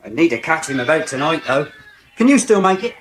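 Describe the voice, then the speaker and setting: An actor playing a tired, down-to-earth farmhand with an English accent, laconic and low-key, in a radio soap. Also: male voice, very animated, fairly quick, a bit bright, slight background noise, rough recording. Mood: weary, wry. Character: weary, down-to-earth farmhand at lambing.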